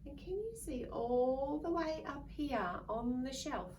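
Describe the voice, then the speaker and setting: A woman reads a children's picture book aloud very slowly, in a fairly high, playful voice. She stretches words and acts out little sounds for young listeners. She is some way from the mic, with faint noise behind her. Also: recorded from a distance. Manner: playful, expressive, drawn-out words with whispers and sound effects. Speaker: children's story reader.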